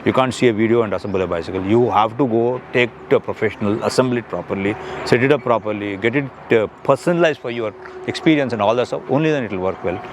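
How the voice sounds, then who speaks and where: Fast-talking Indian man in his fifties. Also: fairly low pitch, a little hiss or hum. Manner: rambling, repeats words and phrases for emphasis. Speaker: customer giving a product review. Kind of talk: casual unscripted interview chat.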